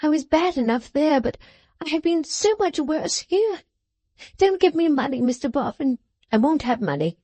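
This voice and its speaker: A woman's voice: high voice, big pitch swings, moderate pace, warm tone, no echo, voice pushed up. A woman doing a weeping young woman pleading. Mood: tearful pleading. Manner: pleading, breathless. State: crying.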